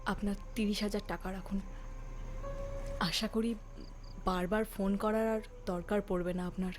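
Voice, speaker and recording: Mysterious young woman, played by an actress, mid-pitched, curt and aloof, cartoon dialogue over faint music. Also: fairly even intonation, normal speed, close to an everyday voice. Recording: music bed behind the voice.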